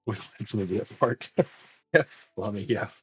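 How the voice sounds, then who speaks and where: A man's voice: on the low side, a little fast, fairly bright tone, slightly rough. A young man streaming a video game live, chatting casually, rambling and laughing often.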